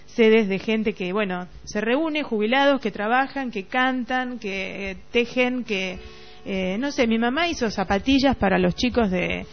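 High-voiced Argentine woman, halting radio talk. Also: gravelly. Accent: Rioplatense Argentine Spanish. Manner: halting, searching for words. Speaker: radio host. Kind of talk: casual reflective radio discussion. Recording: dull lo-fi radio recording, music behind. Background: music.

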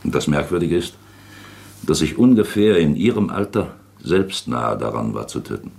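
Radio play: older gentleman investigator, extremely low creaky voice, grave, measured, quietly insistent. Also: animated, heavily acted. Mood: confiding. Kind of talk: German radio drama acting.

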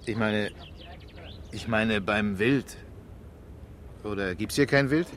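A man's voice: fairly low pitch, slow pace, a bit bright, a little hiss or hum. An actor playing a reserved, inquisitive and uneasy East German man in a naturalistic 1970s radio crime drama, hesitant and conversational in manner. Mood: uneasy, probing.

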